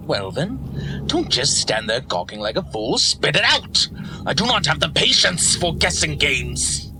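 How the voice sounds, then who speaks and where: A man's voice: on the high side, very animated, slow, background noise, full character voice. A man playing a scheming, gloating snake villain lord in a fan audio drama, with a mocking, theatrical and cruel manner. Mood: impatient contempt.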